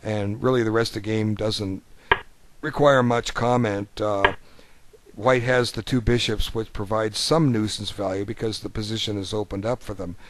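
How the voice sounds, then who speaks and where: A man with a fairly low voice talks through a chess game as a coach. His commentary is informal and rambling, in a folksy, conversational manner. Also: fairly even intonation, moderate pace, a little hiss or hum. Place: voice-over of an on-screen chess board.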